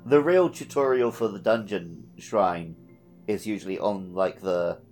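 A man's voice: sits low, measured pace, darkish tone, soft background music. A man co-hosting a podcast, talking casually and conversationally about playing through a video game. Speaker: podcast co-host.

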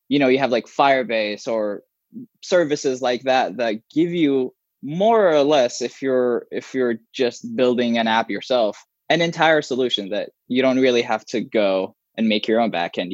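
A man whose first language is Arabic, a software developer talking casually in an interview, with many restarts and fillers. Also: mid-range voice, no room sound, lo-fi sound. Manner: rambling, frequent restarts and fillers.

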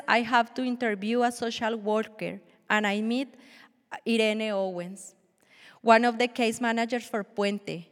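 A Colombian-accented woman in her forties, a native Spanish speaker, gives an earnest, measured testimony in church and stumbles now and then. Her voice sits fairly high. It rings with a long reverb, as if from a large hall. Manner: earnest, measured, with small restarts. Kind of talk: prepared personal testimony.

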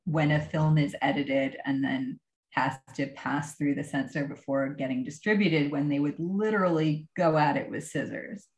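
A woman filmmaker and artist answering interview questions in a measured, precise way, breaking into soft laughs. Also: deep voice, reverberant, low-quality recording. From diaspora of Afghanistan, grew up abroad.

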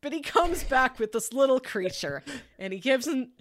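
A woman co-hosting a podcast, pitching movies in a casual, enthusiastic and emphatic way with chatty asides. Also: expressive, moderate pace, no room sound. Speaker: podcast co-host.

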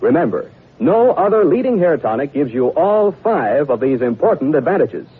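A man's voice: fairly high pitch, very animated, normal speed, slightly rough. An American-accented man working as a radio announcer, reading a scripted 1940s sponsor message like a polished salesman. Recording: old muffled, grainy radio broadcast recording.

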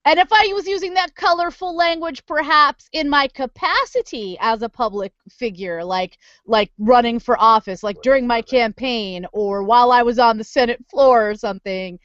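A woman co-hosting a podcast in casual chat, blunt and animated, swearing freely. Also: a little high, normal speed, few pauses, dry recording, muffled recording. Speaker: podcast co-host.

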